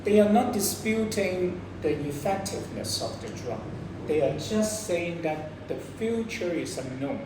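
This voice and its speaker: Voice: mid-range voice, lively intonation, unhurried. A debate coach with a Hong Kong accent, explaining points to students and posing questions as they go. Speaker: debate coach teaching a class. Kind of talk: interactive lesson, explaining debate rebuttals. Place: echoey room, distant from microphone. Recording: echoey room from far off, noisy.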